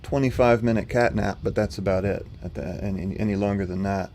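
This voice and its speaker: A man with a very low, slightly rough voice hosts a live stream from home. He talks in a calm, level tone full of small fillers. A faint hum of noise sits under his voice. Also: a little fast. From not from North Carolina. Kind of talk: casual livestream commentary answering chat.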